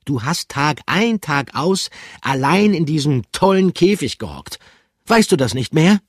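A man's voice: fairly high pitch, lively intonation, normal speed, husky, dry recording, clean studio sound, voice pushed up. A man voicing a blunt, scolding small male animal in a children's story. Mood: stern.

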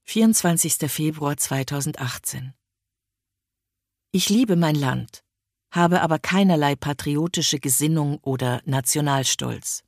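A woman reading a first-person essay aloud in a measured, reflective way, close to a studio mic. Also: low voice, dry recording. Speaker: reader of an essay.

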